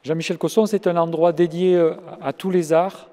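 A middle-aged man interviews someone with playful, leading questions, his voice dark and warm but pitched a little high. He talks through a face mask into a handheld mic. The long reverb of a stone chapel hangs behind him. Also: steady tone, normal speed. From France. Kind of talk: casual interview. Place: large former chapel, indoors.

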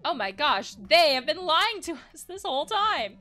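A woman's voice: high voice, sing-song, moderate pace, pushed and bright, soft background music, voice pushed up, exaggerated voice. Woman voicing an anxious, melodramatic game wolf. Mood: hurt, indignant. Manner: melodramatic, pleading. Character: anxious, nagging, dramatic guard wolf. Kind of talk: game dialogue read aloud.

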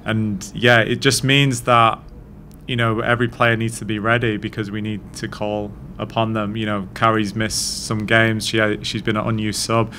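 A man in his thirties, a head coach answering post-match questions at a press conference in a measured, thoughtful way, speaking into a desk mic. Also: lowish voice, level tone, bright, forceful tone, slight background noise. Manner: measured, thoughtful, frequent restarts. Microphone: desk mic on stand.

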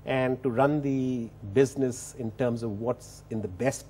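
A man in his fifties answering in a television interview, halting and measured, often restarting his phrases, on a lapel mic in a studio. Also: medium pitch, steady tone, warm tone, a little hiss or hum. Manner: halting, measured, with repeated starts. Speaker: business executive being interviewed.

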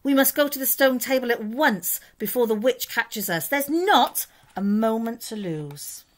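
A woman's voice: on the high side, lively intonation, a little slow, voice pushed up. A woman voicing a kindly male beaver who speaks earnestly and urgently.

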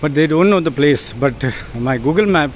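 A man's voice: on the high side, animated, normal speed. Guy doing a travel vlog on his phone, points stuff out to viewers, noisy bus and street behind him. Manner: chatty, pointing out sights to viewers. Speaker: travel vlogger. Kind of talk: casual travel narration. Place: on a bus, then walking outdoors on a street. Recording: loud road and engine noise.

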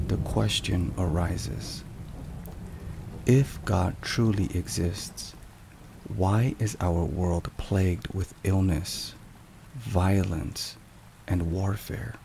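A man giving a scripted, solemn ASMR devotional narration in a soft, measured and deliberate way. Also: low voice, vocal fry. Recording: steady rain and thunderstorm noise. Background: rain and thunder.

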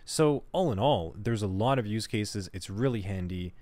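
A man with a somewhat low voice leads a screen-recorded cybersecurity tutorial. He talks at an easy, conversational pace and thinks aloud as he works through each step. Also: normal speed, no room sound. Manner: relaxed, conversational, thinks aloud while working. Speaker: cybersecurity instructor.

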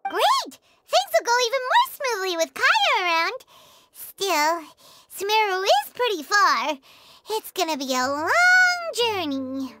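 A woman voicing a tiny, eager floating fairy companion in a squeaky, childlike anime game voice. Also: sing-song, takes it slowly, heavy background noise, heavily acted. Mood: excited, then chatty. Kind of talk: anime-style game voice acting.

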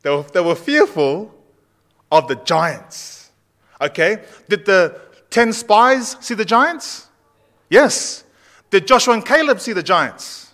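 A pastor in his thirties preaches to his congregation in a church sanctuary. His voice sits fairly high for a man, and he keeps the sermon loose and conversational, putting questions to the people in the pews. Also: expressive, slow, a bit bright. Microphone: far camera at back of church.

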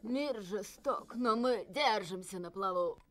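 A woman voicing a world-weary, resigned female street dog in a dubbed animated film. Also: medium pitch, takes it slowly, natural voice.

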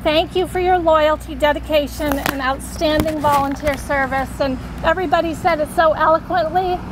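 Woman, soft halting speech, high pitch, outdoor podium, audible traffic noise. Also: steady tone. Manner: soft-spoken, halting with restarts. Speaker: volunteer program director. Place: outdoors at a podium in front of a brick building. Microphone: podium mic.

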